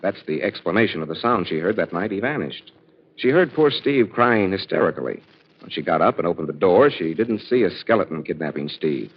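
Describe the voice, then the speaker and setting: An actor playing a composed detective who explains a solved case in an assured, measured way, in 1940s radio acting style. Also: male voice, low-pitched, expressive, husky, heavily acted. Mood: assured, explanatory. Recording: dull vintage 1940s radio recording.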